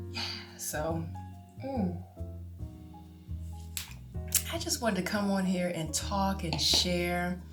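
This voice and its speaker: Woman, late 40s, vlogger; lowish voice, chatty with frequent laughs; echoey room, guitar music underneath. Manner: chatty, laughs often, drawn-out exclamations. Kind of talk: casual talk to camera.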